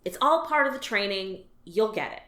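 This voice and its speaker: A woman's voice: mid-range voice, animated, moderate pace, sharp tone. A woman voicing a jaded female alien office worker who talks about dull work in a dry, resigned, matter-of-fact way. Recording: roomy echo.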